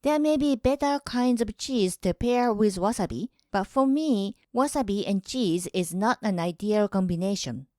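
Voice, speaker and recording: A woman from Japan, hosting her own channel in calm, measured scripted narration. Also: mid-range voice, no echo, studio quality. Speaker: host.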